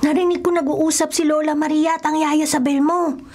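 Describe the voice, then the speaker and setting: Woman as a very high-pitched gossipy boy. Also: steady tone, fairly quick, darkish tone, lightly acted. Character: gossipy, skeptical young boy, the best friend. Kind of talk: radio drama acting.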